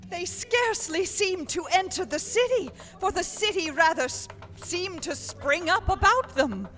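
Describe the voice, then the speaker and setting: A woman's voice: very high-pitched, expressive, a little slow, fairly bright tone, faint music behind. A woman reading scripted narration as a narrator in a radio play.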